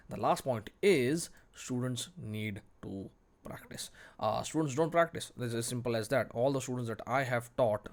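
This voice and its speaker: Man, medium pitch, informal tutorial commentary, teacher, blunt, emphatic, repeats phrases for stress. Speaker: 3D modelling teacher.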